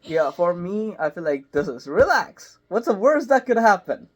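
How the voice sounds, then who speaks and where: Young adult, voice actor, casual, quick asides, video call. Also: medium pitch, sing-song. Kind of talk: casual group interview.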